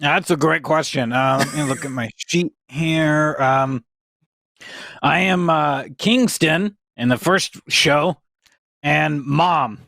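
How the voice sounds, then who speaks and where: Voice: mid-range voice, takes it slowly, fairly bright tone. A cast member of a cold-read show in casual banter, tossing out dry, wry quips.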